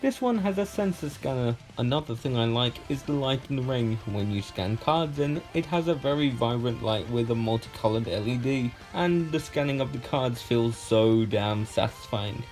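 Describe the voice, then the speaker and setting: A man's voice: mid-range voice, animated, moderate pace, hardly any pauses, darkish tone, soft background music, rough recording. A man presenting a scripted review as a guest contributor to a countdown video.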